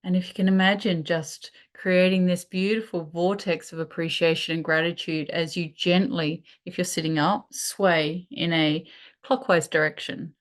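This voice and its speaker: A woman leading a guided meditation, speaking in a soothing, unhurried way with pauses between phrases. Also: lowish voice, steady tone.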